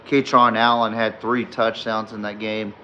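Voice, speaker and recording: A man hosting a late-night sports podcast with a slightly hoarse voice, rambling with many fillers while reading and answering chat comments. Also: on the low side, level tone, moderate pace, pushed and bright, lo-fi sound, slight background noise. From Texas fan, not necessarily from Texas. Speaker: podcast host. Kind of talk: live-streamed sports podcast recap.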